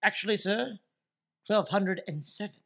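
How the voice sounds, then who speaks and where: An actor playing a flustered, earnest lab man in an improvised comedy radio play, speaking haltingly and coaxingly with a husky voice. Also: high voice, a bit bright, full character voice. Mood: flustered, deferential. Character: flustered, soothing lab man presenting his mouse friend.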